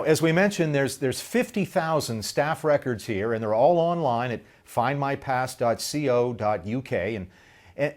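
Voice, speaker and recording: A man hosting a radio interview, asking his guest questions in a polite, measured way. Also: medium pitch, darkish tone. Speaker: radio host.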